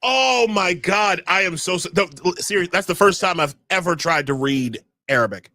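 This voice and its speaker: A man hosting a podcast, with a voice sitting a little high for a man and recorded close and dry with no room sound. He introduces guests with showman flair and pokes fun at himself, laughing easily. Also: moderate pace, bright, forceful tone, no echo. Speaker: podcast host. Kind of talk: casual podcast chat.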